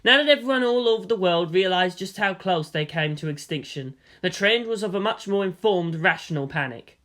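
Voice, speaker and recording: A man's voice: high voice, expressive, a little fast, a bit bright. A man reading a story aloud as scripted narration. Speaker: reader of a fan fiction story.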